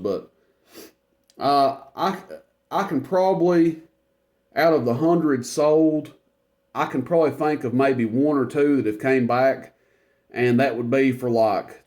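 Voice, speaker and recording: A man with a naturally loud voice talks through a radio demo at his bench. His pitch sits in an ordinary middle range, and his delivery is relaxed and unscripted, with frequent pauses and filler words. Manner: relaxed, rambling, many fillers. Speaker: CB radio reviewer. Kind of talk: casual product demonstration.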